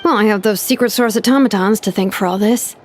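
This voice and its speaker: A woman voicing a wry young engineer who muses aloud in an animated way. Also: mid-range voice, fast, music in the background, close to an everyday voice.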